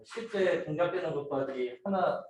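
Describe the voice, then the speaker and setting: A man giving an informal, somewhat halting student project presentation, explaining slides and a demo step by step. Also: fairly high pitch, darkish tone. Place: indoor room, voice picked up from a distance. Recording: very echoey room, distant mic, noisy.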